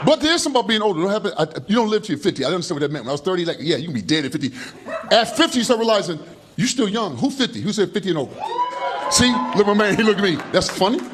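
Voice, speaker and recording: A man in his fifties doing an animated stand-up routine on a comedy club stage into a handheld mic, gesturing broadly as he talks. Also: sits high, very fast, noisy background. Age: 50s. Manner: loud, animated, slangy storytelling with heavy gestures. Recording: live club recording with audience laughter. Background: audience laughing.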